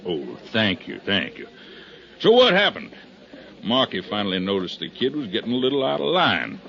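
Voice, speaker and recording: A man's voice: on the low side, sing-song, moderate pace, vocal fry, bright, forceful tone, exaggerated voice. An American actor playing a stubborn, sarcastic man in a 1950s radio drama, speaking in a folksy, needling, emphatic way. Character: stubborn, sarcastic man pushing his murder theory. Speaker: supporting actor in a radio detective serial. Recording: muffled 1950s radio broadcast recording.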